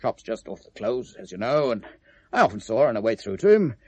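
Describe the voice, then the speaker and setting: An actor playing an evasive, self-justifying British gift-shop owner in 1970s radio drama, talking in a hesitant, defensive, chatty way. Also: male voice, medium pitch, sing-song, a little fast, breathy edge, no room sound. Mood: hesitant, insinuating. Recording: vintage radio drama recording.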